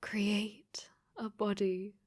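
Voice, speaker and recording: A woman's voice: medium pitch, level tone, extremely slow, a little mellow. A woman playing a clingy, possessive dating sim AI that has become sentient, in an intimate ASMR roleplay that often slips into whispers. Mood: calm, obsessive.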